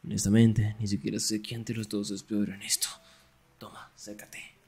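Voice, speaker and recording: A man acting a young, sarcastic and jealous best friend in an ASMR roleplay, teasing in a breathy voice that often drops toward a whisper. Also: lowish voice, level tone, moderate pace, a little mellow. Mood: wry, teasing.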